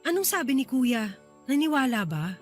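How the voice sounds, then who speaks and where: A woman's voice: high voice, big pitch swings, moderate pace, soft background music. A woman with a Filipino accent playing a sweet, playful younger sister in a radio drama. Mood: curious.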